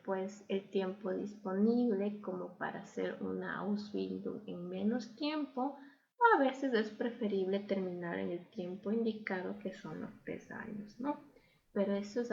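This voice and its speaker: A woman's voice: mid-range voice, gravelly, darkish tone, dull audio. A young woman with an Ecuadorian Spanish accent, telling her own experience conversationally as an interview guest. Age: young adult. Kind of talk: conversational personal storytelling and advice.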